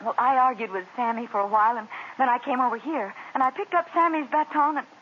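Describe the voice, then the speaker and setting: Woman playing a nervous young singer, high quick voice, old dull radio recording. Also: close to an everyday voice. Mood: frightened. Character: frightened young female band singer. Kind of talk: 1940s radio acting. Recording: old radio broadcast, dull with faint hiss.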